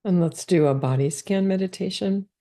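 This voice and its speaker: A woman leading a guided body scan meditation, giving calm, gentle step-by-step instructions. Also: fairly low pitch, moderate pace.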